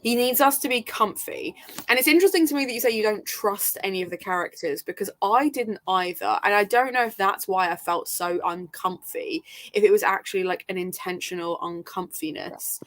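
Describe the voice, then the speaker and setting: A woman's voice: mid-range voice, a little fast. Chatty, emphatic woman in casual book talk. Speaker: book readalong panellist. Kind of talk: casual chat about books.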